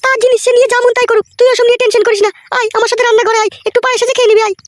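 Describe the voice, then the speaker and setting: A woman puts on a bossy old village mother for a comedy cartoon. The voice is extremely high and races along, brusque. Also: level tone, racing, a little hiss or hum. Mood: motherly, reassuring. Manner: scolding, brusque. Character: foul-mouthed, bossy elderly village wife and mother.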